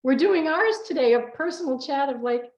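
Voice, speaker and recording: A woman's voice: high-pitched, animated, moderate pace. A middle-aged woman hosting an unrehearsed, casual chat in a chatty, informal, rambling way. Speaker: co-author hosting a personal chat. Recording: roomy, dull lo-fi sound.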